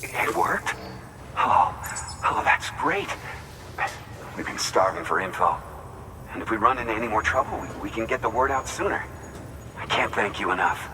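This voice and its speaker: An actor playing a weary, grateful outpost worker in a video game, rattled and blunt, speaking earnestly and a little haltingly. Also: male voice, fairly low pitch, far from the mic, noisy background, natural voice. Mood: relieved, excited. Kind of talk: naturalistic video game cutscene acting.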